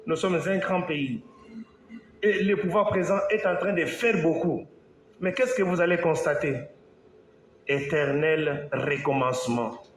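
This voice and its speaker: A man from Congo, an engineer, giving a slow, halting talk to an audience, with pauses and restarts. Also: fairly high pitch. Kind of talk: speech to an audience. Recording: echoey hall, faint background noise.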